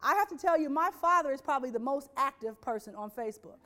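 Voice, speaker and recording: High-voiced woman giving a lively talk. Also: fast talker. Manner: rhetorical, repeats phrases, asks the audience questions; voices quoted people with lively shifts. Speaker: speaker on workplace diversity. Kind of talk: presentation to an audience.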